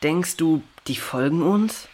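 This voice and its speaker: A woman's voice: low voice, takes it slowly, a little mellow. A woman playing a cheeky, protective youngster on an adventure in a children's radio play, speaking in a casual, blunt way. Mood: uneasy.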